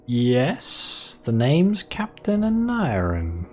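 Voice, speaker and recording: A male gaming host commenting wryly as he plays, his voice worn and hoarse from a lingering cold. His intonation rises and falls a lot, with faint music under him. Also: mid-range voice, wildly swooping pitch, drawn-out words. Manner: wry, amused. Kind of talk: gameplay commentary.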